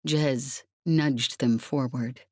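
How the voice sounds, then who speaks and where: A woman's voice: rumbling low, fairly even intonation, drawn-out words, darkish tone, no echo, clean studio sound. A woman reading an audiobook, narrating fiction in a dramatic scripted style.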